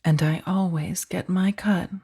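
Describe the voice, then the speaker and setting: Husky, soft-spoken female mafia boss, acted by a woman. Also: fairly low pitch, steady tone. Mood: cool, menacing. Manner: slow, taunting, mock-sweet, dropping into whispers. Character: powerful, menacing, condescending female mafia boss. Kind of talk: soft-spoken ASMR roleplay.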